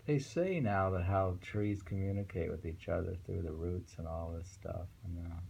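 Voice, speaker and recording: A middle-aged man reads and discusses poems in a musing, unhurried way. His voice is low and gravelly, with a soft, mellow tone. It is a casual home recording with faint noise behind him. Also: dull audio. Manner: unhurried, musing, repeats phrases. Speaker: host reading and discussing poetry. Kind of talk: casual poetry reading with commentary.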